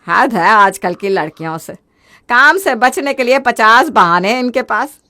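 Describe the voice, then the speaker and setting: A woman's voice: fairly high pitch, lively intonation, a little slow, pushed and bright. A woman voicing a nagging, old-fashioned older mother-in-law who grumbles and scolds in a family moral story. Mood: scolding.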